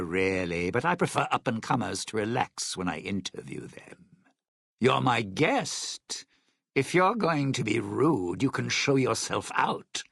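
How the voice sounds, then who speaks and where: An actor playing a cynical, scheming old lounge performer in a video game, with a gravelly, raspy voice and a sardonic, showy drawl. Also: male voice, medium pitch, a bit bright, no room sound, clean studio sound, heavily acted. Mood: sardonic, testy. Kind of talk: video game character dialogue.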